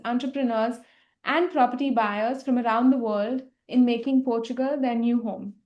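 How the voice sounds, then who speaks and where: A woman's voice: on the high side, darkish tone, reverberant. A woman hosting a live online question session, giving a polished, formal scripted introduction. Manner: polished, formal and welcoming, reading a prepared script. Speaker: host.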